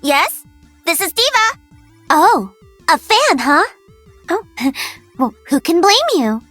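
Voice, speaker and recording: Young gamer heroine, game character, cheeky, bubbly, animated pitch swings, unhurried, music behind. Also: female voice, heavily acted. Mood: cocky, playful. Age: young adult. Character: perky cocky young female pro-gamer mech pilot. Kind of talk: video game character voice lines.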